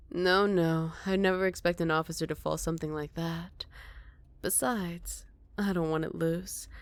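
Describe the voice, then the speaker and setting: A woman's voice: on the low side, darkish tone, no room sound, lightly acted. Woman acting a flirty, smug villainess, close and dry. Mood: coy, sly. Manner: teasing, coy, breathy murmurs. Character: flirty, smug captured female villain teasing a rookie officer. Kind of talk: ASMR roleplay.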